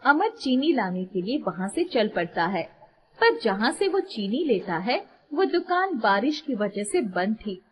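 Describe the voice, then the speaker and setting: A female storyteller narrates a scripted fable. Her voice is fairly high, carried in a long reverb. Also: very animated, measured pace, slight background noise.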